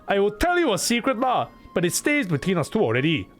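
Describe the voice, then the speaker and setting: A woman's voice: medium pitch, big pitch swings, quick pace, pitched above the performer's own voice, lightly acted. A man putting on a gleeful Singaporean woman. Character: greedy young woman who found coin. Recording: faint game music behind.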